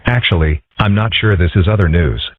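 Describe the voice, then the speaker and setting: A man voicing a calm, philosophical young male fox in a comic dub, husky, measured and deadpan. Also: low-pitched, dry recording. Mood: earnest. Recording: muffled narrow-band lo-fi audio.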